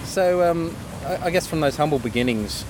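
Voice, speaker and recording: A man hosting a podcast interview, chatty and quick, with many fillers and restarts, recorded outdoors. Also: fairly high pitch, expressive. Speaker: podcast host. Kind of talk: casual interview chat. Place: outdoors near a river and traffic. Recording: outdoor recording with clear street noise.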